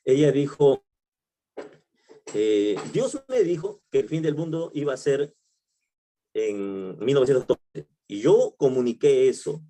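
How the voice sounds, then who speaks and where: Peruvian man teaching, mellow voice. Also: mid-range voice, big pitch swings, unhurried. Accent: Peruvian Spanish. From Peru. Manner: conversational, many fillers and restarts, asks "¿no?". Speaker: pastor. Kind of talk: Bible study teaching.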